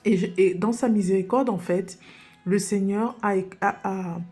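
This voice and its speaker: A woman's voice: medium pitch, steady tone, a little slow, mellow, husky, soft background music. A woman from Cameroon sharing a personal faith testimony as a podcast guest, earnest and hesitant, with frequent fillers and restarts.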